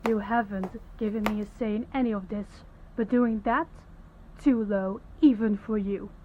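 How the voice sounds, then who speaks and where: A woman's voice: medium pitch, fairly even intonation, warm tone, lo-fi sound, a little hiss or hum, lightly acted. A woman playing a young princess, stern, principled and formal, in an audio roleplay. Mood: indignant, scornful. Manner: formal, commanding, measured diction. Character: young princess, stern, authoritative, compassionate, defiant.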